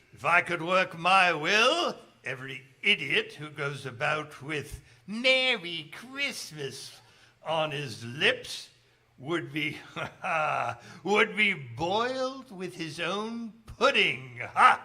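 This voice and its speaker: An actor in a stage radio play voicing a cantankerous old miser with a gruff, scornful, muttering manner. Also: male voice, on the high side, sharp tone, heavily acted. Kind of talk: stage radio drama acting.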